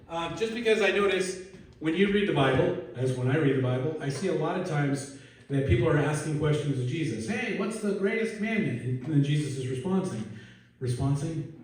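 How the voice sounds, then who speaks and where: A man's voice: on the high side, distant mic, a little hiss or hum. A man preaching a casual, conversational sermon who jokes at his own expense and puts questions to the congregation. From Netherlands. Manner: casual, self-deprecating, asks the congregation questions. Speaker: pastor. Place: church sanctuary. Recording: echoey church hall from afar.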